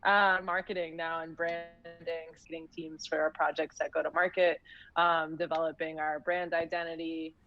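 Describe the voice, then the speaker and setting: Woman, program manager, unhurried, lower pitch, muffled audio. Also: flat delivery, soft background music. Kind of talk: casual panel chat.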